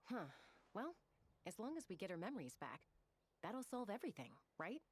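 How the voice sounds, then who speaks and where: A woman's voice: mid-range voice, very animated, moderate pace, husky. A woman voicing a confident, welcoming young tribeswoman in a video game, easygoing and reassuring. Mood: encouraging. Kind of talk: video game character acting.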